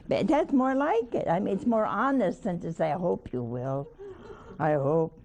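Hoarse woman host, low, slow, folksy spiritual chat. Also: a little mellow. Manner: chatty, folksy, teasing asides. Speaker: TV host, nun. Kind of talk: homely spiritual talk to a studio audience.

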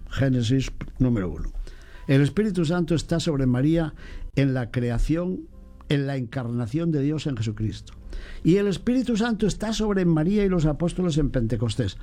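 A priest, chatting about faith in a lively way and mimicking other people's voices. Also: male voice, a little high, normal speed, faint music behind. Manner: animated, mimics voices in quotes. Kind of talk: casual chat on faith.

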